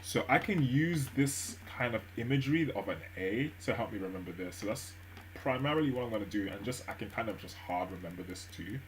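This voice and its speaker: A man live-streaming his language study, thinking aloud with many fillers and restarts at a gentle pace. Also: on the low side, lively intonation, a little fast, slight background noise, rough recording. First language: English. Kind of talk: relaxed study stream narration.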